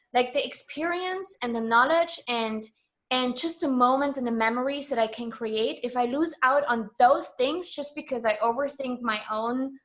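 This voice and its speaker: Higher-voiced woman from Germany, chatting candidly. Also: a bit bright. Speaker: interview guest. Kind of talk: casual interview chat.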